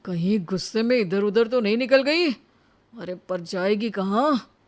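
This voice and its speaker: A woman's voice: medium pitch, lively intonation, measured pace, close to an everyday voice. A woman voicing a cocky, teasing young husband in a cartoon, devoted underneath, with a drawling delivery. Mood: worried.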